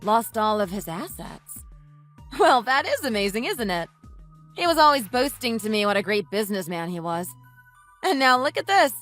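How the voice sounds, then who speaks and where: A woman's voice: mid-range voice, sing-song, moderate pace, fairly bright tone, soft background music. A woman playing an angry, resolute wife in a radio drama, with a firm and scornful manner. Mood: cold, resolute. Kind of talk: dramatized story dialogue.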